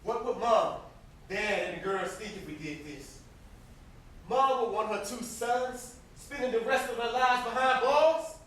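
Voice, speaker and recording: In a roomy, heavily echoing stage recording from far off, a young man plays a conscience-stricken brother. His voice is very high and bright, and he pleads earnestly against revenge in anguish. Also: measured pace. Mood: exasperated, pleading. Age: young adult. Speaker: student stage actor. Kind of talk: stage play acting. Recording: distant, very echoey, noisy stage recording.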